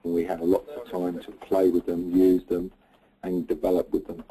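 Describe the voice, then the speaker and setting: A man's voice: low voice, monotone, normal speed, warm tone, low-quality recording. A man speaking in an interview about learning new systems at work. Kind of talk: interview testimony.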